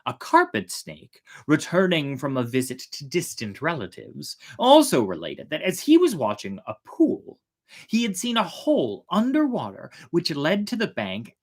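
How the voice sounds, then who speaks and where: A storyteller narrating a folk tale in a theatrical way, with drawn-out, emphatic words. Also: mid-range voice. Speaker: storyteller, host of a storytime show.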